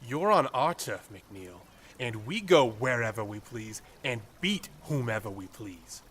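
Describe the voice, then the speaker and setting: Man as a sardonic, bright-voiced street gang leader. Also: mid-range voice, animated, a little slow, slightly rough, voice pushed up. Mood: defiant. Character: tough, sardonic male leader of street hooligans.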